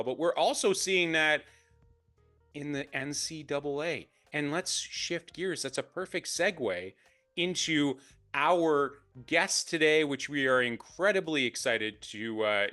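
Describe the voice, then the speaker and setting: A man's voice: a little high, a little slow, bright, forceful tone, soft background music. A man hosting a sports podcast, introducing his guests with enthusiastic, upbeat delivery. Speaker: podcast host. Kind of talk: casual interview.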